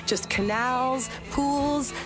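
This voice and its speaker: A woman's voice: sits high, very slow. A woman playing a cocky, playful female stunt pilot in a video game, with a breezy, teasing, boastful manner. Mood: excited. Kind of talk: scripted video game cutscene acting. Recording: music behind the voice. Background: music.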